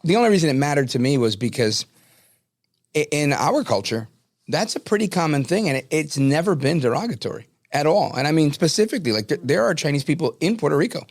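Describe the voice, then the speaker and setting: A man hosting a radio talk show, interviewing a guest in a relaxed, conversational way. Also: a little high, fast talker, no echo, clean studio sound. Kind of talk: casual interview.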